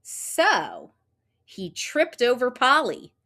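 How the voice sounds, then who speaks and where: A woman reading a children's picture book aloud, with expressive, drawn-out storytelling and sound effects. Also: fairly high pitch. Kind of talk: animated children's read-aloud narration.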